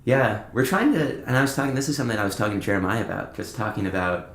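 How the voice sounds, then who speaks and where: A man's voice: on the low side, lively intonation, fast, fairly bright tone. A man talking casually as a musician guest on a podcast, rambling through anecdotes and acting out bits of conversation. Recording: roomy, echoing indoor sound.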